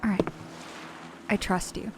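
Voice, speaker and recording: An actress playing a curious, sceptical, easily amazed visitor in a sci-fi audio drama, speaking casually with blunt, hesitant phrasing. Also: female voice, measured pace, slight background noise, close to an everyday voice. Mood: nervous, teasing.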